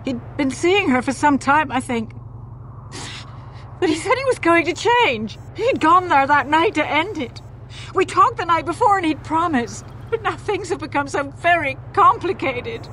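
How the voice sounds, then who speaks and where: An actress playing a wronged, anxious wife giving reluctant, emotional testimony in a video game courtroom. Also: female voice, high voice, animated, measured pace, sharp tone, a little hiss or hum, full character voice. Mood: bitter, distressed. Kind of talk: dramatic video game voice acting.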